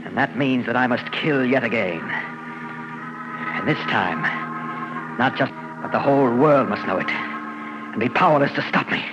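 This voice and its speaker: A man's voice: mid-range voice, expressive, a little slow, long pauses, over loud music, close to an everyday voice. An actor playing a deranged, grandiose sculptor who believes he is Death, in an old-time radio drama. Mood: brooding, grandiose. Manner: theatrical, grandiose monologuing. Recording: dull, noisy vintage radio recording.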